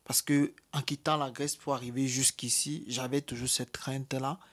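A man's voice: mid-range voice, level tone, moderate pace. A man from Cameroon with a Cameroonian French accent, giving a personal testimony in an interview, hesitant with many fillers and restarts. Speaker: interviewee, asylum seeker.

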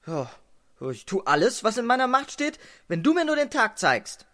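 A woman's voice: fairly high pitch, sing-song, normal speed, fairly bright tone. A woman playing an eager, curious little male dwarf in a children's radio play, gushing and wide-eyed. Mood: eager, puzzled. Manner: gushing, wide-eyed, indignant when slighted.

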